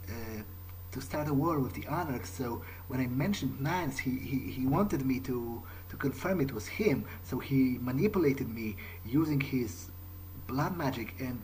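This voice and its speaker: A man playing an uneasy vampire newcomer in an in-character game playthrough, thinking aloud in a halting, hesitant way. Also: medium pitch, lively intonation, darkish tone, slight background noise, lightly acted, rough recording. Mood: uneasy, conflicted. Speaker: let's player. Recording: music behind the voice. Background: game soundtrack music.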